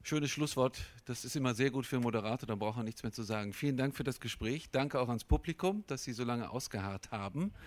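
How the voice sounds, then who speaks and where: Brisk male moderator closing a panel. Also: mid-range voice, expressive. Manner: brisk, chatty, stumbles now and then.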